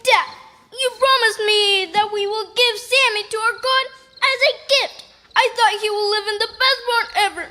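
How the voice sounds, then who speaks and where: A woman's voice: very high voice, normal speed, sharp tone. A woman playing a stubborn young boy in a children's puppet show, pleading and protesting emphatically over his beloved pet. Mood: upset, pleading.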